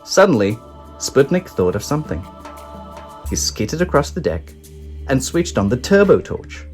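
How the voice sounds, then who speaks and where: A man reading a children's picture book aloud, narrating in an animated, expressive way. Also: medium pitch, moderate pace, faint music behind. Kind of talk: read-aloud storytelling.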